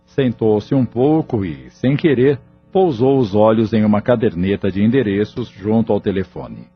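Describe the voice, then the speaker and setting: A man's voice: on the low side, lively intonation, moderate pace, husky. A man reading scripted, dramatic narration for an audio novel. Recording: muffled audio drama recording with music.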